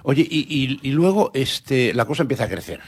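A man with a husky, slightly breathy voice leads a relaxed radio interview. He asks friendly questions and keeps his guest going with short encouraging replies. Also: mid-range voice, moderate pace. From Galicia.

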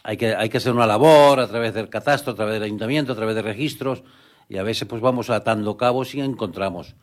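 A man's voice: fairly low pitch, fast talker. A middle-aged man from the Canary Islands, a guest on a radio interview, talking earnestly and hesitantly with many restarts. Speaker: guest, rural real estate agent. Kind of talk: conversational interview.

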